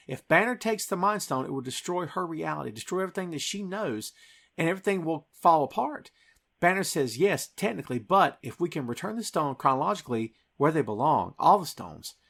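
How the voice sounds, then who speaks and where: A man's voice: fairly high pitch, vocal fry, a bit bright. A man hosting a podcast, retelling a film scene by scene in an enthusiastic, emphatic conversational way. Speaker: podcast host.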